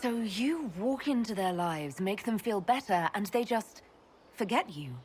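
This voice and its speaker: A woman's voice: medium pitch, animated, a little slow, a little mellow, slight background noise, natural voice. An actress playing a young woman elf mage who asks questions in a direct, curious way.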